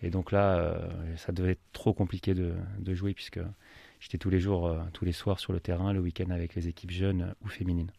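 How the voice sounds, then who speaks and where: Low gravelly man, hesitant answers. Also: fairly quick, no echo. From Paris region, France. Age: 30s. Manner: relaxed, many hesitations and restarts. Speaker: football coach and club manager. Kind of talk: radio interview answers. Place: radio studio.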